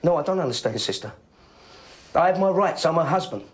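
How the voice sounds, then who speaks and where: A man's voice: fairly high pitch, lively intonation, fairly quick, lightly acted. An actor playing a volatile, menacing middle-aged father in a naturalistic film, aggrieved, repeating himself and swearing. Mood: pleading, strained. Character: volatile, menacing father of a troubled teenage son.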